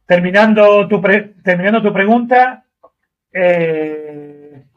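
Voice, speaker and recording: A man's voice: high voice, slow. A man, a driver being interviewed, speaking in an earnest, emphatic conversational way and repeating himself. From Argentina. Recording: dull lo-fi audio.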